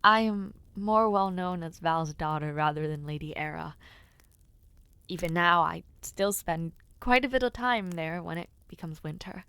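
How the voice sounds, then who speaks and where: Young highborn lady, voiced by a woman, genteel and spirited, warm toward the listener; close, dry audio-roleplay sound. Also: smooth, no echo. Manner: formal, genteel speech with soft hesitant fillers. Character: young noble lady, aspiring knight, lively and affectionate.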